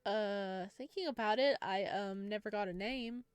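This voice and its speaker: A woman reading a visual novel game aloud in a casual style, breaking into playful, expressive reactions. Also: mid-range voice, moderate pace, clean tone, no echo.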